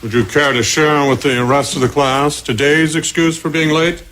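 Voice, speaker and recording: A man playing a dry, patient high school history teacher in a 1980s sitcom, keeping a rowdy class in line. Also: moderate pace, fairly bright tone, close to an everyday voice. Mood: dry, wry. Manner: dry, wry, firm with the class. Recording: noisy TV audio, studio audience laughter.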